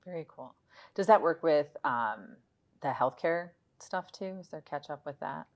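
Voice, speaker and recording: A woman's voice: low voice, expressive, measured pace. A woman in her late forties casually asking questions in a conversational interview. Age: late 40s.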